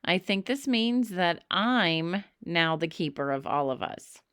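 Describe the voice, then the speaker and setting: A woman's voice: fairly low pitch, expressive, slow pace, a bit bright, clear, resonant tone, dry recording, clean studio sound. A woman voicing an anxious, earnest young boy in a children's storybook, with emphatic, exclaiming delivery. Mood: worried.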